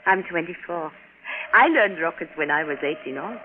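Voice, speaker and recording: An actress playing a shy, nervous young rocket pilot from Russia, speaking haltingly in a 1950s radio drama. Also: female voice, on the low side, lively intonation, natural voice. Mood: shy, hesitant. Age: 20s. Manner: hesitant, halting. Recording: noisy, narrow-band 1950s radio recording.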